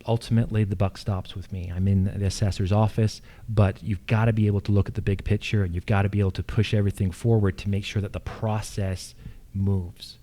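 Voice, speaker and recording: A man's voice: on the low side, little pitch movement, brisk, warm tone. A man speaking as an incumbent official at a candidate forum, explaining in a plain-spoken way. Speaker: incumbent county assessor and candidate. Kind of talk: forum answers. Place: live public forum on a college campus.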